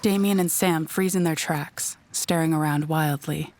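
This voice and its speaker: A woman's voice: deep voice, steady tone, unhurried, noisy background. A woman narrating a scripted audio drama in a descriptive storytelling manner.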